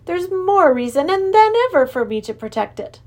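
A woman voicing an exuberant, lovesick male creature king with antlers, who lisps and gushes in a high voice. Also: moderate pace, full character voice. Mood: anxious. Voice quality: lisping. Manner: high, gushing, excitable. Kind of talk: storybook reading.

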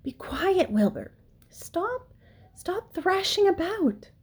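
A wise, weary storybook spider, as a woman reads her, female and motherly. She reasons firmly and chides in a fairly high, unhurried voice. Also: creaky, mellow. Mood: chiding. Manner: firm, chiding, reasoning.

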